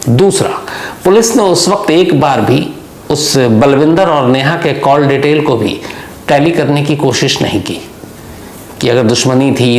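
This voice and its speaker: A man with a gravelly voice telling a true-crime story as a monologue, deliberately, posing rhetorical questions to the listener. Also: fairly high pitch. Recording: echoey room with faint background noise.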